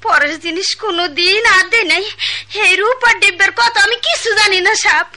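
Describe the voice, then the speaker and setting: A frightened poor wife. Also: female voice, extremely high pitch, brisk, sharp tone, full character voice. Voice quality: pleading, anxious. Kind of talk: Bengali radio play acting.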